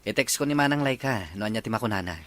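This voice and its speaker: A man's voice: fairly low pitch, animated, racing, background noise, close to an everyday voice. A man playing a mild, agreeable young husband and son in an audio drama, with an Ilocano accent. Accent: Ilocano Filipino.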